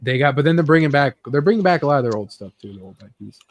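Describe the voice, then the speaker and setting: A man's voice: on the high side, expressive, rapid-fire. A man hosting a casual chat about gaming news, talking informally. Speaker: host.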